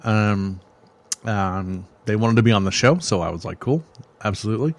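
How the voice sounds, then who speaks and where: A man's voice: lowish voice, expressive, normal speed. A man hosting a metal radio show, reviewing songs in a rambling, joking way with many fillers, his voice starting to give out. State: losing his voice. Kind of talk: casual music review chat.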